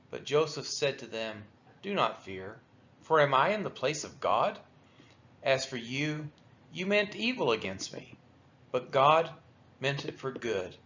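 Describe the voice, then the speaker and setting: Male voice, medium pitch, scripture reading, deliberate solemn phrasing, dull lo-fi recording. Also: fairly bright tone. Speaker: Bible reader for a church daily read-along. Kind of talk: scripture read-aloud. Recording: dull, lo-fi sound.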